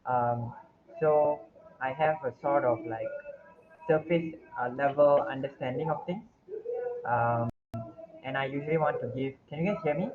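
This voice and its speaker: A man asks a presenter for the main point of his talk, circling around it in an apologetic, searching way with plenty of fillers. His voice sits at an ordinary pitch for a man. Clear background noise runs under his video call audio. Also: unhurried, long pauses, narrow, tinny audio. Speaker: community builder asking questions. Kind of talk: online Q&A. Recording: noisy online call audio.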